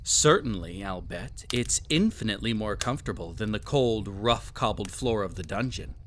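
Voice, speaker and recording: A man's voice: lowish voice, lively intonation, normal speed, fairly bright tone, a little hiss or hum. A man playing a cruel, possessive, vain emperor toying with a captive in an intimate audio roleplay, with haughty, teasing, theatrical phrasing. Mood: smug indulgence.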